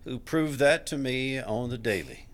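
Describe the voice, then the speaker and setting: An actor playing a gentle, scholarly colleague in an audio drama, speaking in a hesitant, politely precise way. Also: male voice, mid-range voice, expressive, measured pace, close to an everyday voice. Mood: amiable. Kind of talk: naturalistic audio drama acting.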